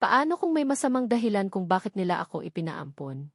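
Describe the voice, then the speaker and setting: A woman narrates a scripted drama in the first person. Her voice sits at a middling pitch. The recording is dry, with hardly any room sound. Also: big pitch swings, fast, no echo. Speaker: story narrator.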